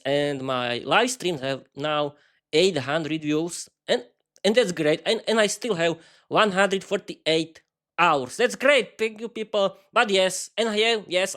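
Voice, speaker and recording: Man in his 30s, native Slovak, enthusiastic podcast host, halting speech, repeats words. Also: a little high, fairly bright tone. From East Slovakia. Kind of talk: improvised solo podcast monologue.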